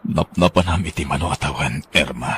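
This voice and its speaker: A man playing Ray, a hurt but forgiving husband in an Ilocano radio drama, with a rough gravelly voice. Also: extremely low pitch, brisk, fairly bright tone, a little hiss or hum.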